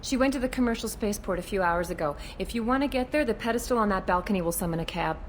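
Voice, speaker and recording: A woman's voice: medium pitch, expressive, rapid-fire, slight background noise, natural voice. A woman playing a wary alien police officer in a video game, explaining matters frankly with slight exasperation. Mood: frank, cautious.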